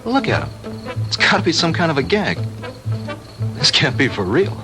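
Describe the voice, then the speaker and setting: A young American actor playing a young man protecting his girlfriend in a low-budget 1960s film. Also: sing-song, moderate pace. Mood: nervous disbelief. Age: young adult. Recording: old film soundtrack with music.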